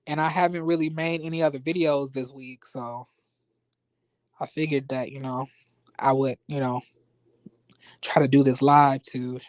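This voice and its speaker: A man with an American accent chatting casually as a livestream host, in a sassy, expressive, slangy conversational way. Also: medium pitch, a little slow, long pauses, dry recording. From United States, born in rural Florida and raised in Las Vegas. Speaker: YouTube reviewer and livestream host. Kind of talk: casual livestream chat with viewers.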